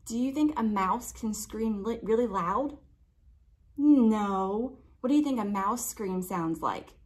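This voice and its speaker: A young adult woman reading a children's picture book aloud with expressive, drawn-out emphasis, pausing to put questions to young listeners. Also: mid-range voice. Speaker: library storytime reader.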